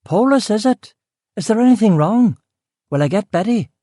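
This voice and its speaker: An irritated middle-aged woman from West Belfast, the house cook, answering in short, wary bursts, voiced by a man reading the story. Her voice sits high, with a curt, grudging edge on every reply, set in a dry, close storybook recording. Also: animated, normal speed, mellow, no echo, lightly acted. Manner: curt, guarded replies. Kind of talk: audiobook character voice.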